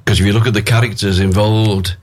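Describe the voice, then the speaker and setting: An older man with a deep voice, a singer chatting as a guest in a radio studio, telling stories with many fillers and restarts. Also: steady tone, a little fast. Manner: storytelling, hesitant with fillers. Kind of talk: casual radio interview chat.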